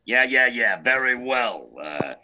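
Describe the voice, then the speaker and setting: A man playing a shady, edgy go-between in a radio thriller, halting and repeating himself as he speaks. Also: mid-range voice, animated, bright, forceful tone, heavily acted. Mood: edgy, furtive. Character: shady male go-between arranging a contract killing. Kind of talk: old-time radio thriller acting.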